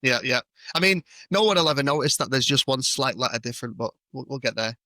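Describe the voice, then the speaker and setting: A man's voice: medium pitch, animated, fast, sharp tone, no room sound. A man with a British accent hosting a podcast in a casual, matey interview style. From United Kingdom. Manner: matey, informal banter. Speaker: podcast host.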